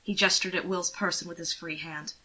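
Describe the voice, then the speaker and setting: A woman's voice: fairly low pitch, steady tone, fairly quick, bright, forceful tone, rough recording. A woman reading scripted fiction expressively as an audiobook narrator.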